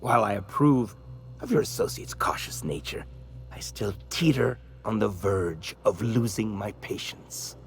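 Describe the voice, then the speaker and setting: An actor playing a pompous, menacing meat tycoon and killer in a video game, florid and mock-polite, dropping into breathy whispers. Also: male voice, lowish voice, expressive, measured pace, a little hiss or hum. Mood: impatient, threatening. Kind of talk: video game character acting.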